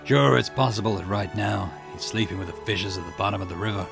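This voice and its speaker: A man reading as a low-voiced, serious detective. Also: fast, gravelly. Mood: grim, regretful. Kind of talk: visual novel dialogue read aloud. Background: game music.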